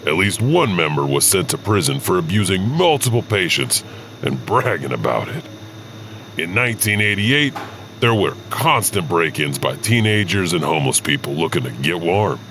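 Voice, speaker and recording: A man's voice: low voice, very animated, normal speed, background noise. A man narrating a horror anthology from a script as its host.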